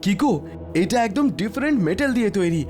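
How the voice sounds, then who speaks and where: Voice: medium pitch, animated, fast, lightly acted. A performer voicing a loyal, helpful cartoon super car with an assured, earnest way of explaining things. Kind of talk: animated cartoon dubbing.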